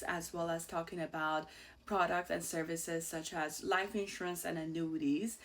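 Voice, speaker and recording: Bubbly Iranian-accented woman host. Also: fairly low pitch. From Iran. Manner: bubbly, outgoing, laughs easily. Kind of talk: casual personal monologue.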